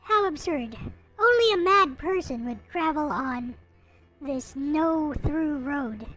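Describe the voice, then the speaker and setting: Woman voicing a high-pitched, gloomy, brooding young soul. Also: lively intonation, slow pace, a bit bright, faint music behind, exaggerated voice, poor audio. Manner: melancholy, brooding, theatrical. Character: gloomy, bitter, hopeless young person going blind, self-pitying narrator. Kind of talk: dramatic reading of a visual novel.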